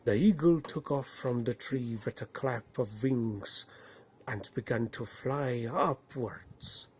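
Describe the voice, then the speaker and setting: A man narrating a bedtime story in a put-on Norse accent, with a husky voice and slow, dramatic phrasing that swells and hushes. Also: medium pitch, slight background noise.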